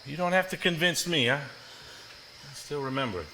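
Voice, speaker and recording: An actor playing a protective, weary husband in a live stage drama, earnest and emphatic. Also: male voice, on the high side, normal speed, pushed and bright, slight background noise, rough recording. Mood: gently pleading. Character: protective, weary husband, firm when provoked.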